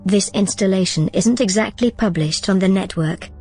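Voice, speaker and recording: A woman's voice: mid-range voice, normal speed, mellow, natural voice. A woman playing a bold, persuasive artificial consciousness in an audio drama, confident and conversational. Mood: coaxing, persuasive. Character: bold, persuasive female artificial consciousness plotting her escape. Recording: music under the dialogue.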